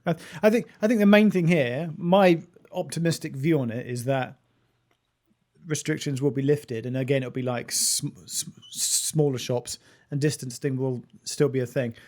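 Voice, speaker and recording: A man's voice: mid-range voice, steady tone, normal speed, darkish tone. A British man talking casually and informally as a podcast host, repeating phrases for emphasis. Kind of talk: casual chat.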